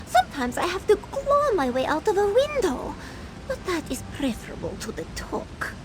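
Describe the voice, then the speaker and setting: A woman's voice: very high-pitched, wildly swooping pitch, normal speed, background noise, full character voice. A woman voicing a small, sassy, party-loving cat woman in a video game, flippant and easily indignant. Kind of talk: AI-voiced video game quest dialogue.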